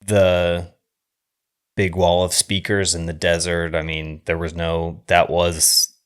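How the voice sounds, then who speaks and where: Deep-voiced man, halting and conversational. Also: completely flat delivery, clean tone. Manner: halting, rambling, trailing off mid-thought. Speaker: film podcast co-host. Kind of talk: casual film discussion.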